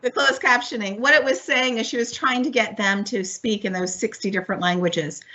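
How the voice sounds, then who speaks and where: A woman's voice: fairly high pitch, fairly even intonation, a little fast, sharp tone, slight background noise. A woman from Miami whose first language is Spanish, giving an upbeat, encouraging webinar presentation to teachers over a video call. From Miami, United States. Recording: dull lo-fi webinar audio.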